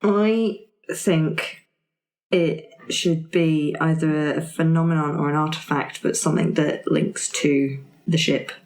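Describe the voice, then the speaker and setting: A woman's voice: sits low, fairly even intonation, slow, darkish tone. A woman playing a tabletop roleplaying game, chatting casually and thinking aloud as she improvises. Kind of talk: casual improvised in-character chat. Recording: roomy, echoey tabletop recording.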